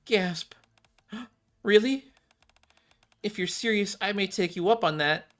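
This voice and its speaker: A man voicing an earnest, excitable young girl from a game, with an eager, halting delivery. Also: high-pitched, pitched above the performer's own voice. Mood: thrilled, touched.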